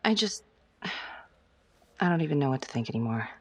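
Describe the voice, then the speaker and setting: An actress playing a rattled, weary female police detective in a naturalistic video game drama, with a husky voice. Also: low-pitched, sing-song, normal speed, full character voice. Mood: weary, uneasy.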